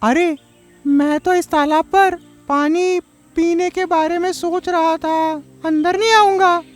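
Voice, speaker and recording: A woman's voice: very high voice, steady tone, slow pace, soft background music. A woman voicing a young male frog, polite and pleading, in a children's cartoon story. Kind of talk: Hindi children's animated story acting.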